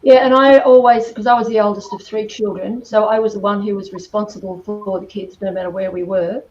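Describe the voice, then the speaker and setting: A woman's voice: medium pitch, steady tone, a little fast, a little mellow. An older woman from Australia with an Australian accent, chatting casually as a podcast guest and telling personal stories. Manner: chatty, reminiscing. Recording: muffled, distant echoey room, faint noise.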